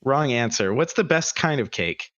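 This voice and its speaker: A man's voice: medium pitch, expressive, moderate pace, a bit bright, highs cut off. Playful male host who laughs a lot. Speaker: interview host. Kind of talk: casual livestream interview.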